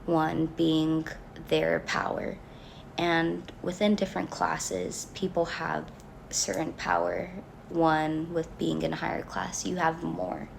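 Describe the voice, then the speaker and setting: A young college student talks through her class project at an unhurried pace. Her voice sits low and is rough with strong vocal fry. She sounds earnest and pauses often between her thoughts. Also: level tone, a little hiss or hum, poor audio. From Mexican family background. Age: young adult. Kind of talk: reading a class project reflection.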